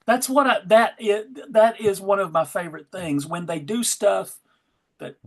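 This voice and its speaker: A woman's voice: mid-range voice, a little slow. A woman panelist at a fan convention, chatting casually in a wry, joking way. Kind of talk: casual chat.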